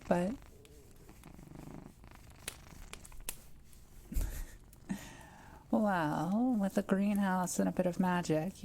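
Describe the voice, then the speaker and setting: Kind forest witch played by a woman, intimate roleplay; soft mellow tone, hesitant and gentle, faint noise behind the voice. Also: on the low side, natural voice. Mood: warm, hospitable. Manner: gentle, hesitant, softly teasing. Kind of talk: intimate audio roleplay, speaking to the listener.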